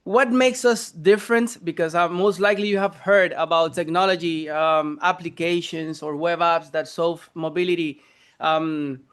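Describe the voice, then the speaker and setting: High, smooth-voiced man from the Dominican Republic. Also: fairly even intonation, fairly bright tone. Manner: earnest, slightly halting. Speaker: startup founder presenting. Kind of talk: pitch presentation.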